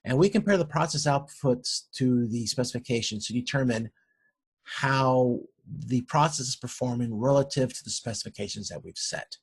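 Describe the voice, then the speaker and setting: A man with a fairly low-key, husky voice delivers an informal recorded lecture. His pitch sits in the middle range for a male voice. He explains things in a halting manner, repeating and rewording phrases as he goes. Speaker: lecturer in operations management.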